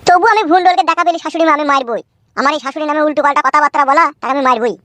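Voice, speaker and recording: A man's voice: extremely high pitch, racing, pushed and bright, dry recording. A man voicing a simple, sheepish but stubborn village son-in-law in a cartoon, bumbling and protesting. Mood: stubborn anger. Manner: hesitant, bumbling, whiny protesting. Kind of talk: Bengali cartoon acting.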